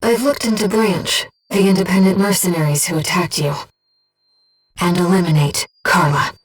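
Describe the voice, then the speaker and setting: A woman voicing a gentle, disembodied young female companion in a video game, giving a mercenary pilot composed, earnest and precise tactical reports in a soft, breathy voice. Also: on the low side, fairly even intonation, normal speed, far from the mic, low-quality recording. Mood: calm, focused. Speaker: mission operator companion.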